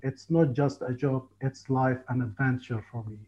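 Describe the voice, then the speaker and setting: A man's voice: mid-range voice, steady tone, mellow. Egyptian man telling his story. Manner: halting, earnest, frequent restarts. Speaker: tour guide and Egyptologist. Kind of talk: casual interview on a call. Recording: muffled lo-fi call audio.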